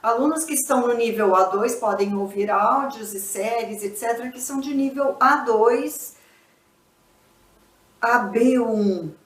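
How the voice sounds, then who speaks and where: A woman's voice: a little high, unhurried, recorded from a distance. Woman teacher in an echoey room. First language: Portuguese. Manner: didactic, checks in with frequent tag questions. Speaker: English teacher. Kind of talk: explanatory video lesson. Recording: echoey room, voice a bit off-mic.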